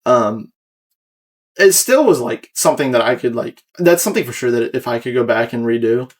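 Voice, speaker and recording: A man's voice: medium pitch, expressive, moderate pace. A young American man hosting a casual podcast, explaining his own creative work in a rambling, self-critical way. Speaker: podcast host and video creator.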